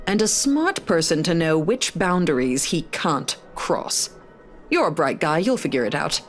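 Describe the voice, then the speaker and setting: Low-voiced jaded police detective. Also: female voice, sing-song, unhurried, soft background music. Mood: weary, wry. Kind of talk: visual novel game voice acting.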